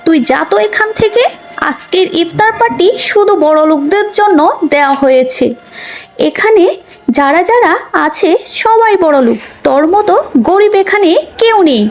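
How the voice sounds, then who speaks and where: A woman voicing a haughty, scolding rich mother in an animated moral story. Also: very high-pitched, normal speed, soft background music. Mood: angry, scornful. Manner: scolding, bossy, dismissive.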